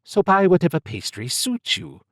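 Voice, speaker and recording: A tough woman agent in an audiobook story, voiced by a male narrator, lifted in pitch and recorded dry. She is cool and sardonic, needling with pointed, cutting remarks. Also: sing-song, moderate pace, creaky, dry recording, full character voice.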